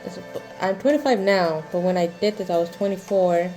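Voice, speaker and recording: A woman in her twenties hosting a casual crochet Q&A vlog, talking in a halting, rambling way with lots of fillers and restarts. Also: on the low side, mellow, soft background music. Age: 20s. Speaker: crochet vlogger.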